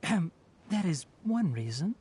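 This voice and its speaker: Lively, measured young ranger. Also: male voice, sits high, a little hiss or hum. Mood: matter-of-fact. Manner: measured, instructive. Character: young male forest ranger, composed, stern yet caring. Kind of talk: anime video game voice acting.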